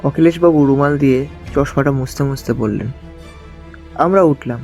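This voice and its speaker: Mellow man narrating a detective story. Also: mid-range voice, normal speed, soft background music. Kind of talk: dramatised first-person storytelling in a detective thriller.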